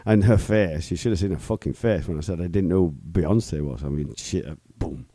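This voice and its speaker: A man's voice: deep voice, a little fast, no room sound. A middle-aged man co-hosting a podcast in crude casual banter, teasing and swearing. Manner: teasing, swearing, lively laughs. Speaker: podcast co-host.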